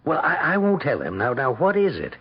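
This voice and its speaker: A family doctor in an old radio play, coaxing with a touch of exasperation. The voice is gravelly and husky, with very wide swings of pitch. The recording is dull. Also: male voice, huge pitch swings, a little fast, full character voice. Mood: reassuring, coaxing. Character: friendly, coaxing, slightly impatient male doctor friend. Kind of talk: 1970s radio drama acting.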